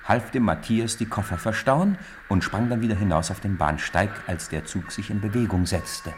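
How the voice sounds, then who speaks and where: Ein Mann trägt als Erzähler eines Hörspiels einen literarischen Text vor. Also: male voice, fairly low pitch, moderate pace, over music. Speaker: narrator. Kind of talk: scripted storybook narration for radio.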